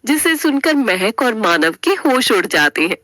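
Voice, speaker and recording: A woman's voice: a little high, sing-song, measured pace, pushed and bright, no echo. A woman storyteller giving a dramatic scripted narration of a family story.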